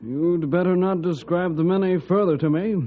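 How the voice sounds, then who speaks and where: Government secretary, played by a man: dignified, fairly high voice, thin phone-like vintage radio sound. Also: steady tone, normal speed, lightly acted. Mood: gracious, pleased. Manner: polite, formal. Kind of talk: old-time radio drama acting.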